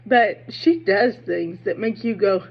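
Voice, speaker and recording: A woman's voice: medium pitch, gravelly, slight background noise. Muffled recording of an emphatic, opinionated woman. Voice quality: speech impediment, slows down on hard sh sounds. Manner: emphatic, digressive, conversational, asking "right?" and "okay?". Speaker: book commentator on own video channel. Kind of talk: casual, opinionated literary commentary.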